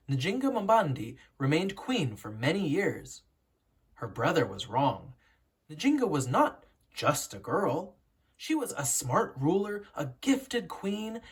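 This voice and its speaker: An educator reading a children's picture book aloud in a storytelling, instructive way with deliberate emphasis and a slightly husky voice. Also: medium pitch.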